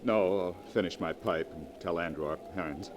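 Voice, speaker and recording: A man's voice: sits low, normal speed, lightly acted. Man acting a jumpy, tormented husband, noisy recording. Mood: brooding. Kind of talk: 1940s radio acting. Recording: noisy vintage 1940s radio recording.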